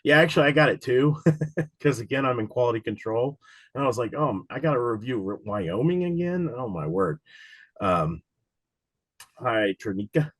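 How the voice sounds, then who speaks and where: A man with a slightly raspy voice from a cold, running a casual live online study session for an insurance exam and talking in a loose, rambling way with many fillers. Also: medium pitch, lively intonation, normal speed, pauses often, no room sound. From Cincinnati, USA.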